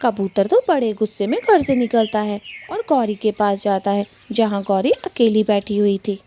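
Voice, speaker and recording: A woman's voice: mid-range voice, normal speed, mellow, a little hiss or hum. A woman narrating a Hindi cartoon story as its storyteller.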